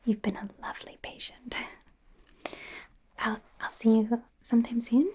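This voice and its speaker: A woman playing an awkward, eager werewolf trainee doctor in a soft, breathy, hesitant whisper for an ASMR roleplay. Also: fairly high pitch, little pitch movement, measured pace, lightly acted. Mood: shy, flirty. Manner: hesitant, rambling, giggly, stammering.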